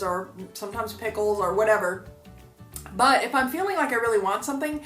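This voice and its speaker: A woman's voice: a little high, bright, forceful tone, echoey room. A woman in her fifties vlogging in a chatty, upbeat way, full of fillers and asides to her viewers. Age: 50s. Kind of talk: casual talk to camera.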